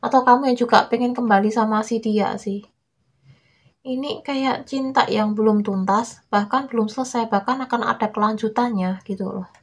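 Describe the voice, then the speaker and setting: A young woman with a cold, her voice small and hoarse. She talks her tarot viewers through it in a soft, reassuring tone, drawing out her words as she goes. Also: fairly high pitch, steady tone, normal speed. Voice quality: weak, small voice. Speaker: tarot reader. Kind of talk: casual tarot reading.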